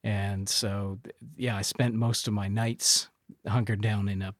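Low-voiced man in casual conversation. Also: flat delivery, measured pace, no echo, clean studio sound. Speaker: podcast producer and writer, interview guest.

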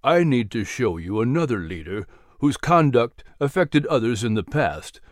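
Man doing a wise grave mentor, solemn and deliberate, mid-low storybook voice, close dry audio. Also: big pitch swings, dry recording, lightly acted. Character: grave, wise male mentor guiding through history. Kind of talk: storybook character voice.

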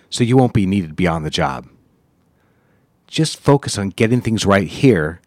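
A man acts a cold, critical boss in a period office drama. His voice is on the low side and recorded dry. He speaks in clipped, formal phrases with a belittling condescension. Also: no room sound.

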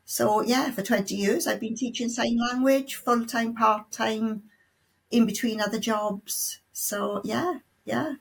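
A woman's voice: fairly high pitch, fairly even intonation, slow pace, gravelly, slight background noise, rough recording. A middle-aged woman with a South Welsh accent, a sign language tutor answering interview questions in a chatty way, full of restarts and playful asides. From South Wales.

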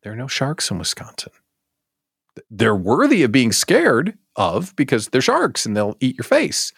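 A man's voice: medium pitch, wildly swooping pitch, unhurried, vocal fry, husky, no echo, studio quality. An American man hosting a casual live show, chatting playfully to his audience and repeating words for emphatic comic effect. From United States. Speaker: host of a daily live show for small business owners.

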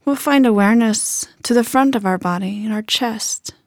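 A woman leading a guided body scan meditation in a gentle, unhurried manner with soft phrase endings. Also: fairly high pitch, no echo, clean studio sound.

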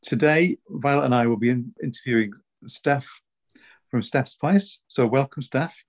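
A man hosting a podcast, asking interview questions in a friendly, measured way. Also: mid-range voice, muffled recording.

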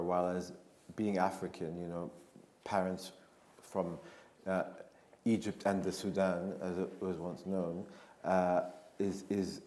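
A man speaking on a panel in a reflective, deliberate way, often halting and repeating words as he builds his point. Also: low voice, a little mellow. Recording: echoey room with faint noise.

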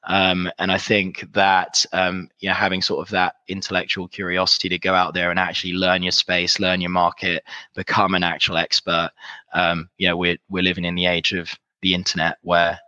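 Low flat congested man with a cold. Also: moderate pace, dry recording. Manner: many fillers and repeated words, earnest. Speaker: recruitment executive, guest. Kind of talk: casual interview answers. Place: remote, in Dallas.

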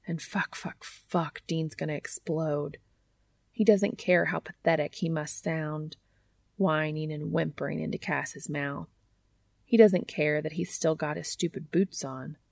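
A woman reading a story aloud in an expressive audiobook narration style. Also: low-pitched, creaky, no echo, clean studio sound. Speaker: podcast reader of fan fiction.

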